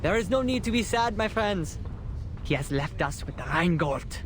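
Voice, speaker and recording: Higher-voiced, grandiloquent male eulogist. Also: lively intonation, moderate pace, noisy background. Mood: impassioned, solemn. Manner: oratorical, grandiloquent. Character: solemn eulogist, an idealistic man mourning his friend. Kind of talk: theatrical memorial speech.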